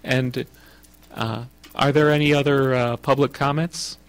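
A man's voice: mid-range voice, fairly even intonation, slight background noise. Formal councilman chairing a meeting. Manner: formal, measured, many uh fillers.

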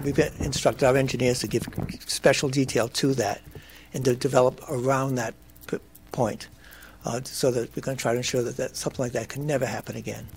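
A hoarse man with a scratchy throat, speaking haltingly and apologetically as a developer at a council meeting. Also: mid-range voice, darkish tone. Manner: apologetic, halting, stumbles on words. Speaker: developer at public hearing. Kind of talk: public comment at council meeting.

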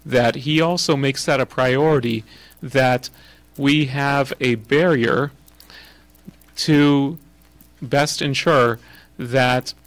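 A man chairing a city council meeting, formal and measured, with frequent pauses. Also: mid-range voice, fairly even intonation, dry recording. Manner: formal, measured, many uh fillers.

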